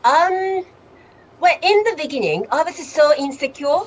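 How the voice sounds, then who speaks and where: A woman from Japan, a native Japanese speaker, talks in English with a strong Japanese accent and halting, non-native grammar during a casual video call chat. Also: high-pitched, lively intonation, dull audio. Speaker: guest. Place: home room, indoors. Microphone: webcam on a video call.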